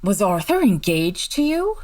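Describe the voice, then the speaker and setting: An actress playing a poised, take-charge high-society lady detective in a period radio drama, businesslike and polite in her questions. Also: sing-song, measured pace, darkish tone. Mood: inquisitive, composed.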